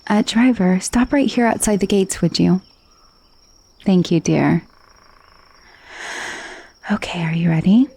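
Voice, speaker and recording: A woman voicing a gentle, doting female vampire in an ASMR roleplay, speaking in a soft, intimate, soothing way that often drops toward a whisper. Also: fairly low pitch, slow pace, creaky, long pauses, husky, exaggerated voice. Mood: polite. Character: gentle, coaxing female vampire mistress of a mansion.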